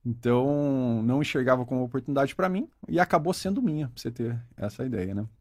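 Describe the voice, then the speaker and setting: A man's voice: mid-range voice, fast, a little mellow, no echo. Man in his forties, from Brazil, talkative interview guest, reflective, dry close sound. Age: 40s. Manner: talkative, reflective, frequent "né?" tags. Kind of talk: casual interview conversation.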